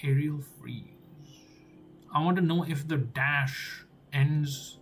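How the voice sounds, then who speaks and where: Young male dev, non-native English, slow mumbling think-aloud, faint hiss behind. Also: on the high side. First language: not English. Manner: muttering to himself, trailing off, repeating words. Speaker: game developer. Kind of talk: think-aloud coding vlog.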